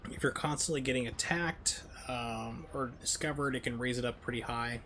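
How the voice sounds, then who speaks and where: A man's voice: medium pitch, moderate pace, sharp tone, a little hiss or hum. A man streaming a video game with casual, chatty live commentary, explaining mechanics in asides.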